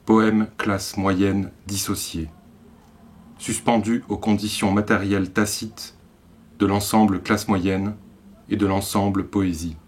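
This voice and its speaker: Low-voiced Frenchman reading poetry. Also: flat delivery. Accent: French from France. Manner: measured, short phrases with deliberate pauses. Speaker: poet reading own work.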